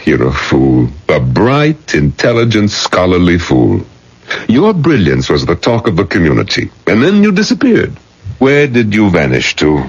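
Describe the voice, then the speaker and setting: An older rabbi, paternal and admonishing, in a vintage radio drama. His very low, raspy voice rises and falls expressively with grave caution. The sound is roomy and dull. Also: huge pitch swings, unhurried, full character voice. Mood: chiding. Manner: paternal, grave, sighing interjections. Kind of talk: 1980s radio drama acting.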